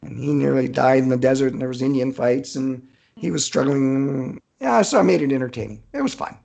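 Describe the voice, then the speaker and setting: Gravelly, halting man talking casually. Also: medium pitch, darkish tone. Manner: halting, searching for words, easygoing. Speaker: author being interviewed. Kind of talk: casual conversational storytelling.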